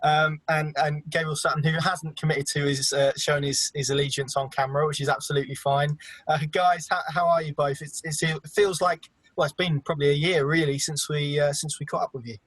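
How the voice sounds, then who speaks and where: A man's voice: a little high, fairly even intonation, brisk, fairly bright tone, no echo. A male football reporter with a faint Norfolk accent, hosting a casual podcast chat and often repeating words as he starts sentences. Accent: slight Norfolk.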